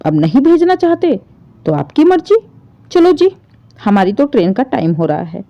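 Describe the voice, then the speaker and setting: A woman's voice: fairly high pitch, big pitch swings, moderate pace, creaky, dark tone, dull audio. A woman storyteller voicing a brusque, impatient daughter-in-law with a huffy, dismissive manner.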